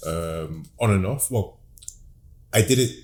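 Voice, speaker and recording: Low-voiced man, cheeky. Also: expressive, slow, darkish tone. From London, England. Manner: playful, cheeky storyteller. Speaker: podcast guest, comedian and artist. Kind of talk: casual interview chat.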